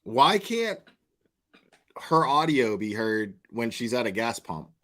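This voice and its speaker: A man's voice: medium pitch, very animated, slow, fairly bright tone. A man giving casual live commentary in a slangy, profane, mocking manner, as the host of a reaction stream.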